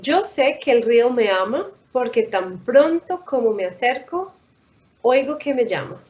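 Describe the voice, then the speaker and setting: A woman's voice: on the high side, animated, normal speed, darkish tone. A woman co-reading a children's picture book aloud for a storytime over a video call. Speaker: storytime co-reader. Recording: dull lo-fi video call audio.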